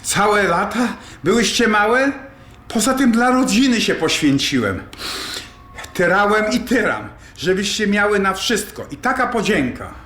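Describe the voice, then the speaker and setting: A man's voice: sits high, big pitch swings, measured pace, fairly bright tone, full character voice. A man playing an indignant, self-pitying, hot-tempered middle-aged father in a radio drama, blustering and defensive. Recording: roomy sound with faint noise.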